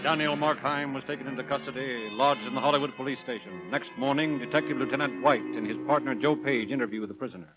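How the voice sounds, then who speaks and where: An actor playing a matter-of-fact narrator, reading scripted narration for a vintage radio crime drama. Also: male voice, medium pitch, fast, hardly any pauses, natural voice. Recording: muffled vintage radio recording, loud music.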